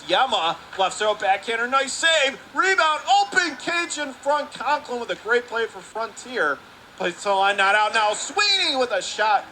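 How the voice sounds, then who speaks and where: A man in a broadcast booth calling a hockey game play by play, with rapid, clipped calls that climb higher on shots and saves. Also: pushed and bright, slight background noise. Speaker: radio play-by-play announcer. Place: broadcast booth above an ice rink.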